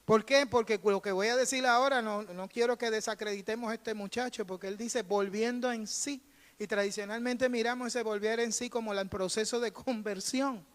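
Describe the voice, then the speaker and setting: A man's voice: high voice, steady tone, normal speed. A Puerto Rican man preaching as a pastor in a conversational storytelling style, emphatic, repeating phrases and acting out bits of dialogue. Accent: Puerto Rican Spanish. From Puerto Rico. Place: church sanctuary during a live service. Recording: reverberant hall, live church service.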